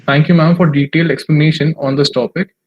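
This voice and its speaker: Formal conference anchor, husky voice. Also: female voice, sits low, fairly even intonation, fast, highs cut off. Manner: formal, effusive, reading from a script. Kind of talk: formal thanks and speaker introductions.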